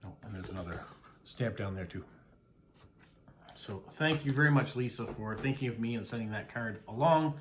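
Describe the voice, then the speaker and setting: A man's voice: mid-range voice, normal speed, slight background noise. A middle-aged Canadian man, a mail carrier, chatting casually as he unboxes postcards, thanking each sender with many "uh" fillers.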